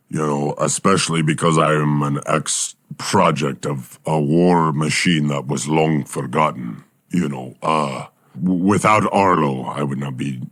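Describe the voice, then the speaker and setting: Igor, a deep talking bear. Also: male voice, no room sound, studio quality. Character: gruff male Russian talking bear, ex-war project. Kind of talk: improvised comedy sketch.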